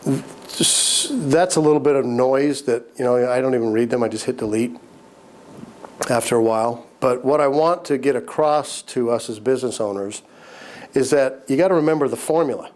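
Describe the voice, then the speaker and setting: A male instructor, lecturing entrepreneurs in a relaxed, conversational way. His voice sits at an ordinary middle pitch and sounds a little raspy from a lingering cold. He clears his throat now and then and circles back to repeat his main points. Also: moderate pace. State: recovering from a cold, slightly raspy. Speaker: business course instructor.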